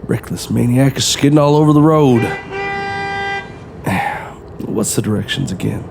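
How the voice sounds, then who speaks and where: An actor playing a wary, irritable crook with a gruff, grumbling manner in an audio drama. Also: male voice, medium pitch, huge pitch swings, takes it slowly, background noise, full character voice. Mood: annoyed, tense. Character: irritable, wary male crook, new to the crew.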